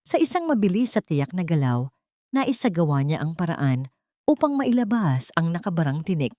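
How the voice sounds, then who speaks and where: A woman's voice: lowish voice, very animated, moderate pace, dark tone, no echo, clean studio sound. A woman narrating a scripted story in a dramatic storytelling style.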